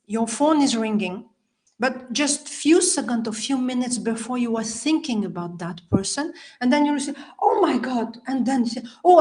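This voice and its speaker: A woman from Belgium with a Belgian French accent, a native French speaker, chatting as a podcast guest over a video call, laughing often and phrasing things in a non-native way. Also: fairly high pitch. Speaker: podcast guest, healer and life coach. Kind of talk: casual interview conversation.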